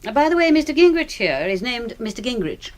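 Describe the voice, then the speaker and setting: Arch British lady, high, lilting. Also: sing-song, brisk. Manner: arch, teasing. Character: arch, witty, well-bred British lady doctor. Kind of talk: radio drama acting.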